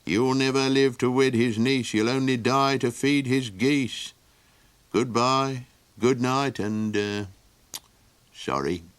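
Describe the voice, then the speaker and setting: A man voicing a grave male traveller who gives his warnings in a measured, hushed tone. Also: medium pitch, smooth, no room sound, lightly acted. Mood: ominous.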